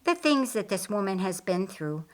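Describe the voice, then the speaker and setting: A woman's voice: mid-range voice, very animated, moderate pace. A woman narrating a scripted story as an audiobook reader.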